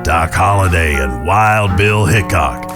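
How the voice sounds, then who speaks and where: An actor playing a folksy, solemn Old West narrator, telling a scripted audio drama with portentous delivery. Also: male voice, sits low, expressive, slow pace. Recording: guitar music behind.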